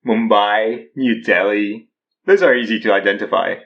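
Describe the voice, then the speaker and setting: A man's voice: on the low side, normal speed, gravelly, muffled recording. An actor in his thirties playing an easygoing, wry man who poses as a guru in an audio drama. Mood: amused. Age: 30s. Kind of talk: naturalistic audio drama acting.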